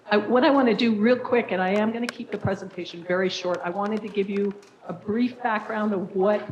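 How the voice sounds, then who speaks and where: A raspy-voiced woman gives a council presentation in a casual, friendly way, with plenty of fillers. Her voice sits mid-range in pitch. The chamber around her is noticeably echoey. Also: highs cut off. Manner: friendly, informal presenting style with frequent fillers. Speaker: nonprofit director giving a presentation. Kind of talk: public presentation at a council meeting.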